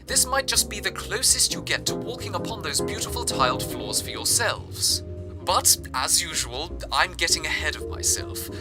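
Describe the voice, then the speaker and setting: A man playing a grandiose, genteel radio drama host who speaks in florid, deliberate phrases, like an old-time broadcast monologue. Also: high-pitched. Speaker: fictional radio show host.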